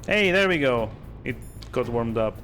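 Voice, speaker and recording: A man giving casual, halting live commentary on a video game, sounding sleepy and bored. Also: mid-range voice, lively intonation, a bit bright. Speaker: streamer playing a video game. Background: game music.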